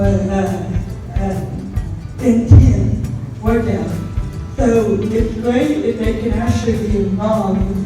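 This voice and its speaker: A woman coach giving an informal, halting overview as a school coordinator. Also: on the high side. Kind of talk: informal presentation to parents. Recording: echoey distant room, music behind. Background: music.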